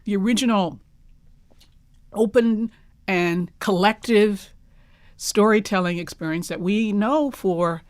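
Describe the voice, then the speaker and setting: A woman speaking as a guest playwright in a reflective interview, articulate and deliberate, stressing her key words. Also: mid-range voice, no echo.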